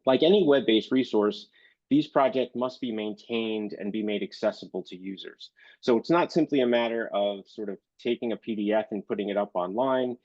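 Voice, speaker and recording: A man presents to an online audience in a low voice that sounds stuffed up from illness. He hesitates often, filling pauses with ums and uhs. The audio is dull and dry, like a computer mic on a call. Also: darkish tone, no room sound. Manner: hesitant, many fillers. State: congested, recovering from illness. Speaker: librarian presenter.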